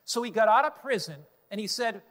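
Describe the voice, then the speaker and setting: Earnest preacher in a reverberant hall. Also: male voice, high voice, animated, fairly quick, a bit bright. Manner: earnest, emphatic preaching with repeated phrases. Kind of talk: sermon.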